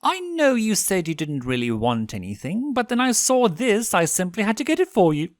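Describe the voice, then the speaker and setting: A man's voice: sits high, wildly swooping pitch, moderate pace, dry recording, clean studio sound, voice pushed up. Man playing a refined, theatrical owl demon prince. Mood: giddy, delighted. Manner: courtly, theatrical, formal phrasing. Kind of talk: fan fiction story reading.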